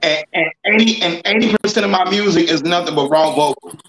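A man's voice: on the high side, fairly quick, fairly bright tone. A man, bantering in a laid-back, boastful way as a guest in a casual chat. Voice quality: raspy. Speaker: guest, singer. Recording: roomy with background noise.

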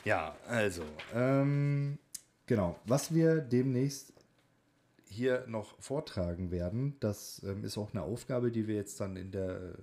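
Male podcast co-host, on the lower side, loose and bantering in casual chat. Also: takes it slowly, dark tone. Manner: informal, bantering. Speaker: student in a speech training class. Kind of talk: casual podcast chat with tongue-twister game.

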